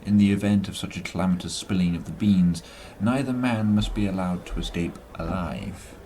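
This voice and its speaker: Male actor as smooth political schemer for radio drama. Low-ish register, grandly wordy, cordial on top, calculating underneath. Slightly noisy track. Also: moderate pace, mellow. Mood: scheming, imperious. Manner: pompous, florid, formal wording.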